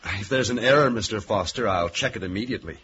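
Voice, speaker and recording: An actor playing a polite, composed young accountant in a radio drama, restrained and deferential. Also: male voice, lowish voice, fast talker, noisy background, close to an everyday voice. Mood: polite, guarded. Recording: dull vintage radio recording.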